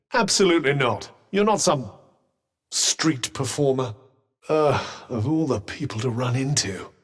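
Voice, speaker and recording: Game role: sardonic magical talking object, British accent, haughty and droll, animated intonation, lightly husky tone. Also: male voice, mid-range voice. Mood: exasperated. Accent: British English. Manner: dry, sarcastic, condescending, theatrical. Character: sardonic, haughty, well-spoken talking magical cuff, male. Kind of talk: video game character dialogue.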